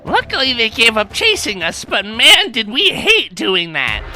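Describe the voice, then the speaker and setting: Young male cartoon monkey female performer, excitable wisecracker, extremely expressive pitch, clear background music. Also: measured pace, full character voice. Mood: chatty. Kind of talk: scripted comic cartoon dialogue.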